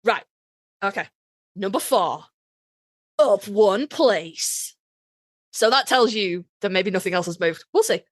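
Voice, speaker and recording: A woman's voice: medium pitch, animated, takes it slowly, long pauses, no echo, studio quality. A woman with a Yorkshire accent co-hosting a podcast, chatting casually and bluntly about her opinions with plenty of swearing and dramatic emphasis. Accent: Yorkshire English. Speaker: podcast co-host. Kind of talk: casual opinionated fan chat, ranked countdown.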